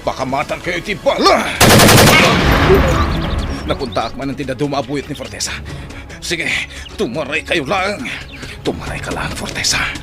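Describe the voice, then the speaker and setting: A man's voice: on the high side, very animated, moderate pace, over loud music. A man playing a hot-blooded, vengeful hero in a radio drama, with intense, anguished, declamatory delivery. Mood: determined pursuit. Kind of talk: Ilocano radio drama acting.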